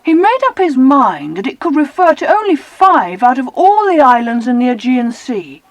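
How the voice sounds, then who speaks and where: A woman voicing well-spoken, eager British children in a dramatized adventure audiobook, with expressive storytelling. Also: high voice, normal speed, dry recording.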